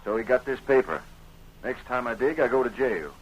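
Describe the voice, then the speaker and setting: An American actor playing an honest, frustrated gold miner in 1930s radio drama style, with folksy rustic speech. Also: male voice, medium pitch, lively intonation, fairly quick, highs cut off, close to an everyday voice. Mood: discouraged, aggrieved. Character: honest, frustrated male gold miner, plain-spoken frontier husband. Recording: noisy 1930s radio recording.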